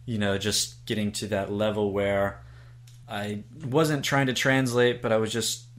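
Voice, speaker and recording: A man's voice: lowish voice, steady tone, a little slow, a bit bright. A man hosting an interview, easygoing and affirming with short replies before asking questions. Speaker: host, interviewer. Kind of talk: conversational interview.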